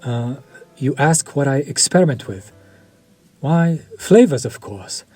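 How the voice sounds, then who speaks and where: An actor playing a courteous, quietly predatory vampire chef in an audio roleplay, polished, formal and flirtatious. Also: male voice, mid-range voice, lively intonation, measured pace, breathy edge, soft background music. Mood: suave. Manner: polished, formal and flirtatious, lingering over words.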